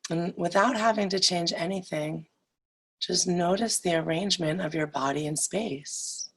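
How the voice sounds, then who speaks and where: A woman with a low, breathy-rough voice guides a meditation. She speaks very slowly and deliberately, leaving long pauses between short phrases. Her delivery is soothing and instructional throughout. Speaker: yoga nidra meditation guide.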